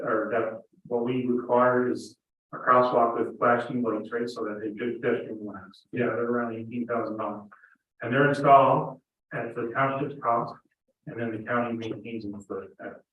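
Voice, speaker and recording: A man with an Irish accent giving informal answers as a public works director at a council meeting. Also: mid-range voice. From Ireland. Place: meeting room heard over a video meeting. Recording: echoey meeting room, distant muffled mic, faint hiss.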